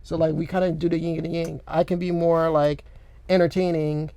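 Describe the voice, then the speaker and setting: A young man co-hosting a podcast in a studio, chatting casually in a rambling, animated way with lots of filler words. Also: fairly high pitch, a little fast, gravelly, clear, resonant tone, no echo. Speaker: podcast co-host. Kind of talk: casual chat. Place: recording studio. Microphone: studio microphone.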